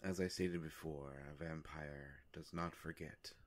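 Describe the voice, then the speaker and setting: A man playing a courteous, ancient vampire in a roleplay audio drama, speaking in a halting, formal, soft-spoken way. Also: a bass voice, fairly even intonation. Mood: solemn, wry. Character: courteous, ancient male vampire, gentle and hesitant.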